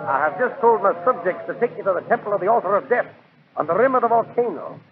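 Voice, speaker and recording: A sinister, courteous schemer from a 1940s radio play. His high voice gloats with oily formality. The sound is tinny and very noisy, like an old broadcast. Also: lively intonation, fast talker. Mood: gloating menace. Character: smug, sinister villain, genteel male diamond schemer.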